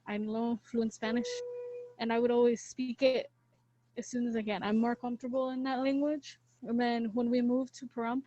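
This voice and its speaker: Panelist, woman, high monotone voice, muffled audio. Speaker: student panelist.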